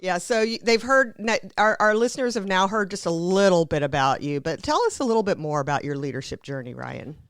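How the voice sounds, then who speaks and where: A woman's voice: lowish voice, fairly quick, hardly any pauses, clear, resonant tone, no room sound, studio quality. Chatty woman hosting a radio interview. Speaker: host, chamber of commerce president. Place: radio studio.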